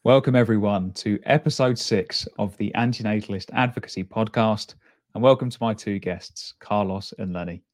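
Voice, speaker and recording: Low-voiced man hosting a podcast. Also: normal speed. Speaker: podcast host. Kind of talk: scripted podcast introduction and hosting guests.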